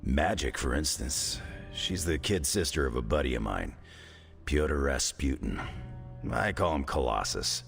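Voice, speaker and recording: Gruff loner video game hero, super deep n gravelly, laid back, kinda folksy. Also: male voice, lively intonation, unhurried, fairly bright tone, long reverb tail, soft background music, full character voice. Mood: dry, relaxed. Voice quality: gravelly, rough. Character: gruff, laconic middle-aged Canadian loner hero. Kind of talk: video game cutscene acting.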